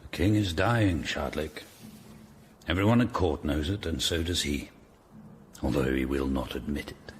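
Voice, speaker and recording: A man's voice: very deep voice, a little slow, long pauses, slight background noise. An older man with an English accent playing an imposing, stern Tudor lord in a radio drama, formal and commanding. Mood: urgent, grave.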